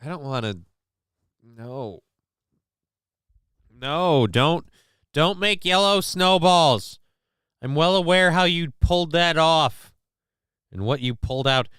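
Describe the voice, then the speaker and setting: Guy hosting a live stream, bright crisp voice, super dry close mic, rambles and swears a lot. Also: a little high, animated, takes it slowly, pauses often, dry recording. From Minnesota. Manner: rambling, profane, lots of restarts and fillers. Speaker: show host reading live chat. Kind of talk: casual live-stream chat.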